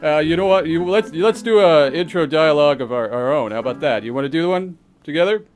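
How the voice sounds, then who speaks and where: Guy doing a cocky alien superhero parody, kinda high voice, rambly bragging, music under it. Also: brisk, close to an everyday voice. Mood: rambling. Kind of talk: improvised comedy reaction skit.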